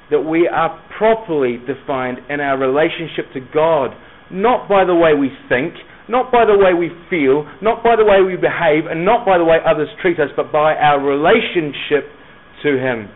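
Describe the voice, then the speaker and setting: A man with a softened Scottish accent and a touch of New Zealand, preaching to young people with rhetorical questions, pauses and emphatic repetition. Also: a little high. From Scotland, moved to New Zealand as a schoolboy. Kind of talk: evangelistic sermon to young people. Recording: narrow, phone-like lo-fi sound.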